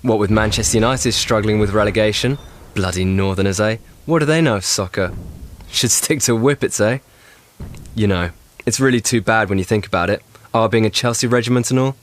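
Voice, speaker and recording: Young English private played by a man, educated, wordy and drily ironic, wartime radio drama, clear outdoor noise behind him. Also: fairly low pitch, fairly quick, lightly acted. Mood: polite, defensive. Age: young adult. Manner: formal, wordy, dryly ironic. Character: educated, articulate young English private in First World War. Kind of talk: audio drama acting.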